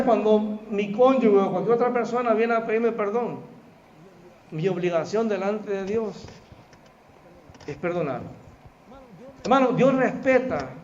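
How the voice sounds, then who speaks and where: A man's voice: high-pitched, highs cut off. A middle-aged man from El Salvador with a Salvadoran Spanish accent, preaching a sermon and talking to the congregation in a conversational way, often calling out to the brethren. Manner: conversational, addresses the congregation as "hermano". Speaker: pastor. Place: church hall.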